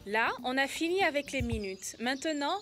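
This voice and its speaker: High-voiced, helpful cartoon girl. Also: expressive, normal speed, over music. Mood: explaining. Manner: explanatory, encouraging. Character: kind, helpful young girl race timekeeper and teacher. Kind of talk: educational children's cartoon dubbing.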